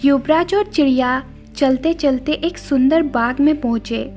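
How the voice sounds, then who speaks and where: A woman with a high voice narrates a scripted children's-style tale. Music plays behind her storytelling. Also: measured pace, darkish tone.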